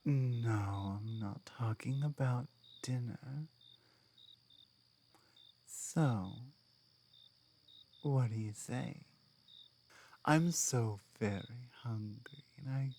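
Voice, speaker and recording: Woman playing a slow-spoken, proud female orc. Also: extremely low pitch, warm tone, a little hiss or hum, exaggerated voice. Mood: flirtatious, sultry. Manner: halting, earnest, deliberate. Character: strong proud female orc laborer, blunt, flirtatious, grateful. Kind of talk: ASMR roleplay monologue.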